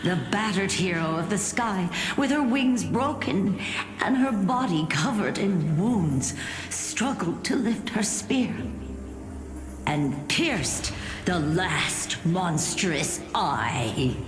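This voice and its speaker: A solemn, slow-spoken old grandmother storyteller. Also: on the low side, expressive. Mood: grave, epic. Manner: measured, dramatic, weighty phrasing. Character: aged grandmother, solemn, tender keeper of old legends. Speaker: voice actor in a fantasy game. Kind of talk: dramatic fantasy game storytelling. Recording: reverberant studio voice under music.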